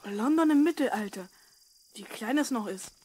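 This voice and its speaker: A curious twelve-year-old boy played by a woman, with a fairly high voice. He is a slightly cautious young adventurer in a children's radio play. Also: lively intonation, moderate pace, warm tone, a little hiss or hum, lightly acted. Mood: thoughtful. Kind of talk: children's audio drama acting.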